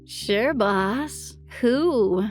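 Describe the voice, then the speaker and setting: A sassy detective's secretary played by a woman, with a fairly high voice and an unhurried drawn-out delivery. She is frank, teasing and sarcastic in noir radio-play style. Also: expressive, darkish tone, soft background music. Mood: dry. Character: sassy, blunt female secretary of a private detective. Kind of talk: noir audio drama acting.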